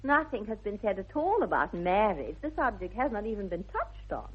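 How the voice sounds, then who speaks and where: A woman's voice: medium pitch, very animated, normal speed, husky. An actress playing a self-assured, romantic young English society lady in a 1960s radio comedy, with an affected, precise upper-class accent. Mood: coy, adoring. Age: young adult. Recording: dull old radio recording.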